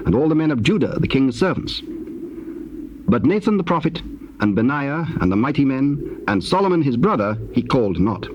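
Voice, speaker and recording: A man narrating dramatized scripture in a measured, formal manner. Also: medium pitch, lo-fi sound. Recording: faintly noisy old cassette tape.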